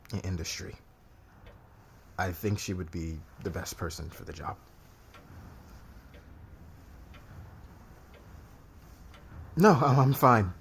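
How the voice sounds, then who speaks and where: A man playing a domineering, bitter boss in an ASMR roleplay, speaking deliberately with intimate menace. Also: low-pitched. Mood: strained, businesslike. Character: domineering, bitter married male boss being blackmailed and seduced. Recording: clear background noise.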